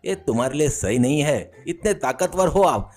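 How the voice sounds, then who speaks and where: A man's voice: fairly high pitch, lively intonation, moderate pace, a little mellow, pitched above the performer's own voice. A man voicing a humble, ragged beggar with a wheedling, mock-innocent manner in a comic moral story. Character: humble ragged beggar man pleading, secretly a police inspector.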